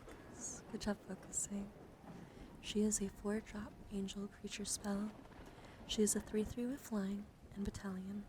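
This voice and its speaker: A woman streamer speaking softly and playfully in an ASMR style, close to the microphone, her breathy voice often dropping into a whisper. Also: mid-range voice, little pitch movement, unhurried. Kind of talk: soft-spoken ASMR card showcase. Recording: clear background noise.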